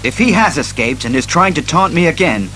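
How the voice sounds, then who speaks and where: An actor playing a serious, commanding masked detective hero, earnest and deliberate, in an audio drama. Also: male voice, fairly high pitch, very animated, sharp tone, a little hiss or hum. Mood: grave.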